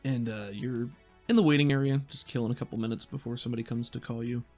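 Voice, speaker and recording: A man's voice: mid-range voice, steady tone, quick pace, a little mellow, soft background music. A man acting as game master, narrating scenes in a tabletop roleplaying game.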